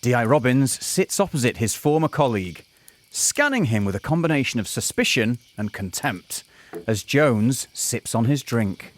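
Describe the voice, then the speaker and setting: A man's voice: medium pitch, lively intonation, normal speed, natural voice. A man narrating a scripted comic audio drama in an overblown, literary, mock-dramatic way. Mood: dramatic, wry.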